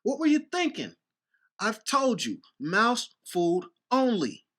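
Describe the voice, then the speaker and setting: Woman as sharp, exasperated father. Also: fairly high pitch, huge pitch swings, slow, heavily acted. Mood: angry. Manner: scolding, emphatic. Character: exasperated, stern but joking human father. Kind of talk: audio drama acting.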